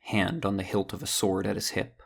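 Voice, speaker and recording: A man narrating an audio drama in a steady storytelling manner. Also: low voice, brisk, creaky, husky. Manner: steady storytelling, does all character voices.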